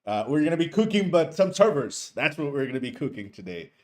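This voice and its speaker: A man's voice: a little high, sing-song, a little fast, a little mellow. Hesitant man teaching live. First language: Spanish. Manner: hesitant, many fillers and restarts, friendly asides. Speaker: course instructor and streamer. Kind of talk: casual live-stream teaching.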